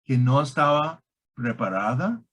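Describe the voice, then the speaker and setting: A man of medium pitch explains things as a guest expert. He speaks very deliberately and haltingly in non-native Spanish with a foreign accent, leaning on key words and making small grammar slips. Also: animated, fairly bright tone, breathy edge. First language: not a native Spanish speaker. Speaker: guest expert on online scams. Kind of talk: explanatory conversation.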